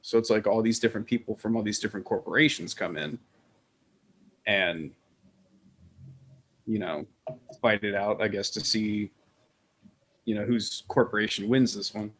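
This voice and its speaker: A man's voice: lowish voice, steady tone, normal speed, long pauses, a bit bright, husky. A man chatting casually as a podcast panelist, rambling and opinionated, with some casual swearing. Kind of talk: casual group chat.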